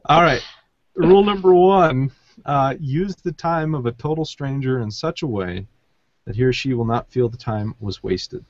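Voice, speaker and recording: A man's voice: mid-range voice, moderate pace, no room sound. Teasing man hosting, dry muffled sound. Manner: teasing, joking. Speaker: podcast host. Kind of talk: casual banter. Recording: muffled, dull lo-fi audio.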